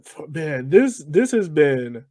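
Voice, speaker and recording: Animated higher-pitched American man, jokey. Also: takes it slowly, no room sound, clean studio sound. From Virginia, United States. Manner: jokey, teasing, laughs readily. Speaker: podcast host, interviewer. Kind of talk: casual interview chat.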